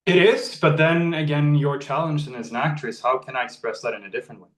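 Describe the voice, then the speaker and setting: A man's voice: a little high, steady tone, quick pace, recorded from a distance. Earnest Norwegian man in a roomy room. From Norway. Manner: earnest, searching, restarts phrases often. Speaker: actor and model, interview guest. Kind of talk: reflective casual conversation.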